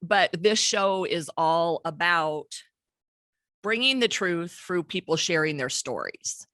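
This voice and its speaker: Woman, forties, podcast host; bright tense voice, candid and profane, dead-dry studio mic. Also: fairly low pitch, lively intonation, takes it slowly, no echo. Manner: candid, swears freely, laughs often. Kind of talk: casual podcast chat. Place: home studio with shelves and plants. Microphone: studio mic with pop filter.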